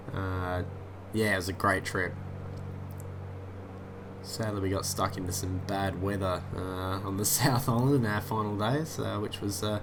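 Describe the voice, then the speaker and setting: A man with a head cold that makes him sound nasal, casually commentating his flight simulator session and often losing his thread. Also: lowish voice. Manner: rambling, many "uh" fillers, easily sidetracked. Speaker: flight sim YouTuber.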